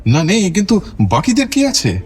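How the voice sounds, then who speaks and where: A proud ageing-idol type of film star in an audio drama. He speaks in a measured, self-assured way with clipped irritation held in check. Music plays under the voice. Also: high-pitched, wildly swooping pitch. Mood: coolly defiant. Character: proud veteran film star, a controlled, irritated murder suspect. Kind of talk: Bengali audio drama acting.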